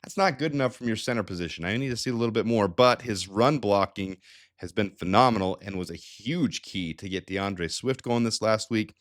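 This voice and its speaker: A man with a fairly low voice and a slight nasal stuffiness from a head cold breaks down team stats for his channel. He talks in an energetic, chatty way, doubling back on himself and throwing in asides as he goes. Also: a little fast. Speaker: sports channel host. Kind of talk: casual stats analysis.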